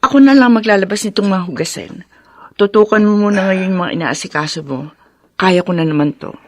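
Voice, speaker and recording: An actress with a Filipino accent playing a gentle, grateful middle-aged countrywoman in a radio drama, speaking softly and with feeling. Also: mid-range voice, brisk, no echo, clean studio sound, close to an everyday voice. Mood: wistful, grateful. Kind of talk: Tagalog radio drama acting.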